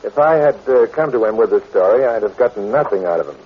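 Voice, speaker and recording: An American actor playing a coolly calculating blackmailer in a 1940s radio drama, smug and insinuating. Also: male voice, lowish voice, expressive, fast, slightly rough. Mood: coolly menacing. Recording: muffled old radio recording.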